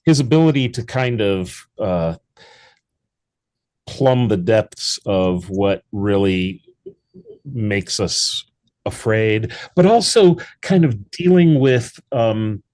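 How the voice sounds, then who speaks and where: A man's voice: lowish voice, slow pace, darkish tone. Rambling man with restarts. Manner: rambling, many restarts and fillers. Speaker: theatre founder guest. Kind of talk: casual interview chat.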